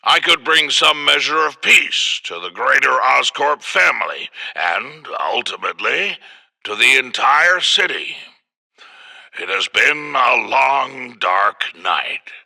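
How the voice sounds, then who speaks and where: An actor playing a grandiose male leader in a game audio log, speaking in a dramatic, drawn-out way. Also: mid-range voice, big pitch swings, creaky, bright, forceful tone, heavily acted. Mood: grandiose, solemn. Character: grandiose male leader vowing to restore a corporate empire.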